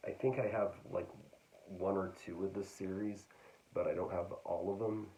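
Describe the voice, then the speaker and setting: A man casually talking through a book haul, hesitant and full of fillers, his voice getting raspy. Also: deep voice, steady tone, moderate pace, dark tone, muffled recording. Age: adult. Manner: hesitant, full of ums and restarts. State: getting raspy, clearing throat. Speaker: book vlogger.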